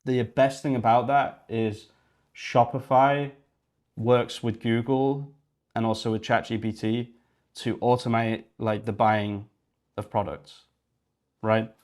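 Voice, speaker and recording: A man's voice: medium pitch, level tone, takes it slowly, a little mellow. A young man from Yorkshire, chatting casually and thinking aloud while hosting a coding livestream. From Yorkshire, England. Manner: rambling, thinking aloud while clicking around.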